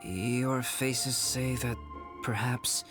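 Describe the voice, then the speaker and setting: Detached analytical young male scholar, video game: measured, mid-pitched, clear music underneath. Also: fairly even intonation. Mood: composed. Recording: music behind the voice.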